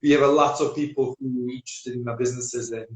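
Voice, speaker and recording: A man with a Serbian accent, speaking as an energetic guest in a casual live-stream interview, with non-native grammar and repetition. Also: mid-range voice, fast talker. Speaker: business guest. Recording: echoey room.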